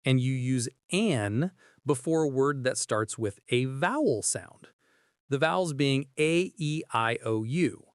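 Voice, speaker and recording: A man's voice: mid-range voice, lively intonation, takes it slowly, no echo, studio quality. A man co-hosting a scripted, conversational English lesson in an inquisitive, explanatory way. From Canada. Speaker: podcast co-host.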